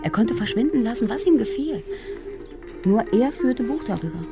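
An actress playing a cool, knowing, sardonic investigator in a naturalistic German radio crime drama. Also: female voice, a little high, animated, normal speed, music in the background. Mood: explaining.

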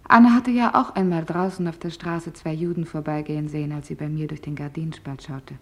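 A woman's voice: low voice, steady tone, brisk, close to an everyday voice. A woman playing a young office clerk, giving earnest, plain testimony in a radio documentary drama. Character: young female office clerk earnestly recalling wartime events.

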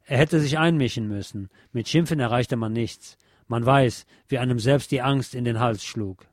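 A man's voice: mid-range voice, moderate pace, dry recording. Casting: male narrator for a literary audiobook, mid-range voice, close dry sound.

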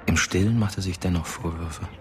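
A man's voice: sits low, steady tone, brisk, close to an everyday voice. An actor playing a dead teenage boy who narrates as a ghost in a German radio drama, matter-of-fact and understated.

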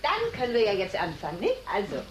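An actress playing a rich hunter's lively, theatrical wife in a radio play, urging others on. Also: female voice, mid-range voice, fast, muffled recording. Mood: bubbly. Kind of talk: German children's radio play acting. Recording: noisy, echoey radio play tape.

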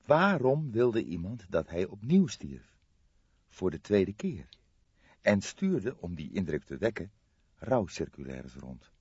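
A man's voice: low voice, husky, dry recording, muffled recording. A man reading a crime audiobook as narrator, in a dramatic scripted style, close to the microphone.

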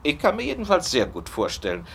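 Confident detective, very lively intonation, suave and teasing, theatrical radio drama, faint background noise. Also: male voice, on the high side, fairly quick, gravelly, exaggerated voice. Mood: amused, confident. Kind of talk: German radio drama acting.

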